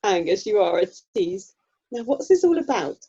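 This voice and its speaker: Casting: actress as sensible, kindly young mum for radio comedy, soft dark tone, chatty, slightly muffled recording. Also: female voice, medium pitch, sing-song, moderate pace, breathy edge. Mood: teasing, cheerful. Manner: chatty, gently teasing. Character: friendly, sensible young mother selling raffle tickets. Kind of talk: amateur radio play acting. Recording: dull, lo-fi video call sound.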